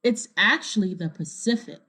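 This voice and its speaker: A woman's voice: medium pitch, moderate pace, bright, forceful tone, husky. A woman reading the part of a kindly, encouraging female schoolteacher who puts questions to a class in a storybook.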